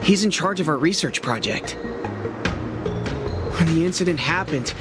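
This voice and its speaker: A man's voice: fairly high pitch, animated, moderate pace, over loud music, natural voice. An actor playing an anxious, earnest young apprentice craftsman in a video game, worried and plaintive.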